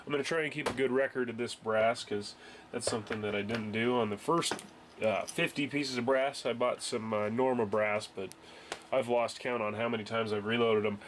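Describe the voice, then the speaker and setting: Young man, mid-pitch, congested from a cold; easygoing, rambling garage tutorial with lots of ums and restarts, faint noise behind. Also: steady tone, normal speed. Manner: rambling, many fillers and restarts. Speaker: home reloading hobbyist. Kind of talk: casual workbench tutorial. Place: garage workbench, indoors.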